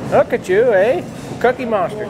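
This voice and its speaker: A man's voice: very high voice, moderate pace, loud music behind the voice. A middle-aged man filming his family, teasing and joking in casual chat. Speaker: father filming family vlog. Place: home kitchen, car and grocery store. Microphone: handheld camera. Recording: noisy handheld camera audio.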